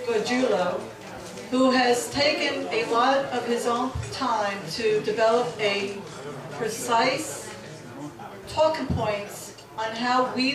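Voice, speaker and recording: A woman hosting a group meeting, thanking an organizer and introducing the next speaker in a measured way. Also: fairly high pitch. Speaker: meeting host. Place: meeting hall. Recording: echoey hall from far off. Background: crowd noise.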